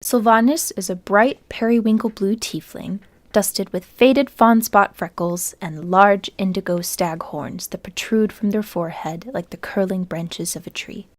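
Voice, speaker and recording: A woman's voice: medium pitch, a little slow, dry recording. A woman giving scripted, descriptive narration for a fantasy tabletop game.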